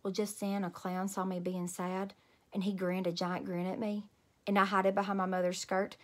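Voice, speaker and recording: A woman in her thirties reading a children's story aloud in an animated, emphatic way. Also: mid-range voice, normal speed. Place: small room at home.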